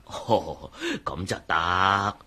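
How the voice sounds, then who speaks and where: An actor playing a jovial, self-assured doctor with a husky voice, in a Cantonese radio drama with a Hong Kong accent. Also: male voice, sits low, very slow, sharp tone, exaggerated voice. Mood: jovial greeting. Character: jovial, self-assured male doctor and psychologist, teasing friend.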